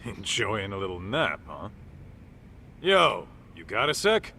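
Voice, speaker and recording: A middle-aged man with an American accent playing an easygoing, streetwise tough-guy detective in a dubbed video game, talking casually and gruffly. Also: lowish voice, huge pitch swings, takes it slowly, sharp tone, slight background noise, full character voice. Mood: exasperated. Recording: music behind the voice.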